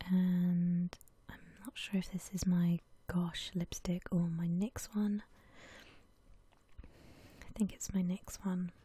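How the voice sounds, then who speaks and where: Woman, ASMR whisper, extremely breathy, mellow, gentle, unhurried, casual chat. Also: fairly low pitch, level tone, no room sound. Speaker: ASMR video creator.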